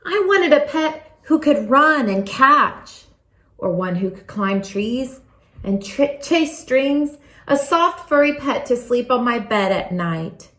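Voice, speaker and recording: A woman reads a children's story aloud in an expressive, animated way, leaning on words for emphasis. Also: sits high, slow pace, echoey room. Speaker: storybook reader.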